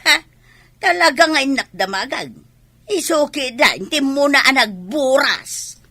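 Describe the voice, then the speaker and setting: A woman's voice: sits high, animated, measured pace, bright, forceful tone, dry recording, exaggerated voice. A woman acting a feisty, demanding village neighbour in an Ilocano comedy radio drama, insistent and scolding, with an Ilocano accent. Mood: suspicious, indignant.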